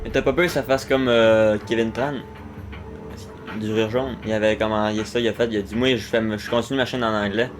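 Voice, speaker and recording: A man's voice: on the low side, steady tone, fairly quick, music in the background. A man co-hosting a podcast, casual, with teasing dry asides. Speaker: podcast co-host. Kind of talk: casual chat.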